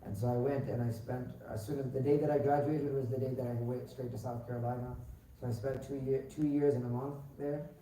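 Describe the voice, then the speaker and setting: Dark, flat-voiced young man in echoey hall. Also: medium pitch, brisk. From United States, born in Pakistan. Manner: relaxed, rambling, many filler sounds and restarts. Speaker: imam candidate being interviewed. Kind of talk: casual spoken interview answers. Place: large echoey mosque hall. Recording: very echoey hall, distant mic, faint noise.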